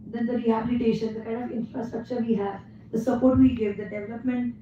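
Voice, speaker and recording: A woman's voice: fairly high pitch, slight background noise. Indian woman with a worn voice, echoey hall. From India (Mumbai). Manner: energetic, pauses often with "uh". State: losing her voice. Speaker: guest speaker at a physiotherapy event. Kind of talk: conference talk to an audience. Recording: echoey hall, distant dull mic.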